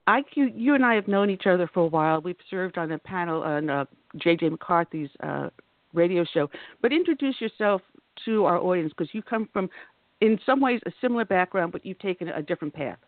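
A woman's voice: fairly low pitch, lively intonation, brisk, dry recording. Lady radio host, chatty, asks pointed questions, dry tinny sound. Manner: conversational, probing questions. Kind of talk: radio talk show interview.

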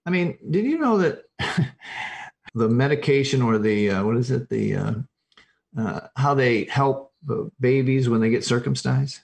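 A man's voice: mid-range voice, expressive, gravelly. A middle-aged or older man speaking as an expert podcast guest, explaining informally and emphatically, often restarting and repeating phrases. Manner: emphatic, halting and self-correcting, repeats phrases. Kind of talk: informal explanatory interview talk.

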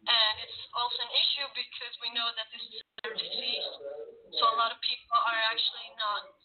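A woman in her twenties from Sweden, sharing her family story as a guest in an earnest, slightly halting way over a video call. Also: fairly high pitch, level tone, crowd noise. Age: 20s. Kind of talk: personal interview. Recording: tinny phone-like video call.

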